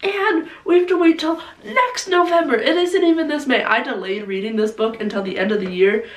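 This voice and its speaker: Hoarse young woman gushing about books. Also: high-pitched, fairly quick. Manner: gushing, heavy use of "like". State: hoarse, coughing. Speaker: book vlogger. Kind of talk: casual book review chat. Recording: roomy, distant mic, faint noise.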